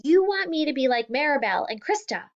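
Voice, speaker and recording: A woman voicing a hurt, defiant teenage daughter in an audiobook, sharp and heated. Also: sits high, sing-song, moderate pace, lo-fi sound, pitched above the performer's own voice. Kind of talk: audiobook reading.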